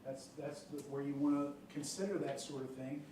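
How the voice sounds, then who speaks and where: A man's voice: fairly high pitch, steady tone, moderate pace, dark tone. A man explaining trial rules to a jury panel in a conversational way, often checking in with "okay?" and "right?". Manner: conversational, many fillers and check-ins like "okay?". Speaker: prosecutor questioning jury panel. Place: large courtroom. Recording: echoey courtroom picked up from far off, light noise.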